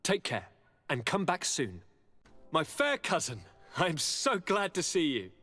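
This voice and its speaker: A man's voice: fairly high pitch, wildly swooping pitch, measured pace, pushed and bright, full character voice. An actor playing a charming, eager young nobleman governor in a video game, speaking in a courtly, theatrical and affectionate way. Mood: gracious, welcoming. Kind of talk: dramatic video game voice acting. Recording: reverberant hall, music underneath.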